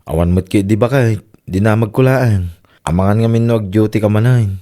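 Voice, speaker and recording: A man's voice: on the low side, fairly quick, mellow, lightly acted. A man playing a concerned, caring older husband in a radio comedy-drama. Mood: worried.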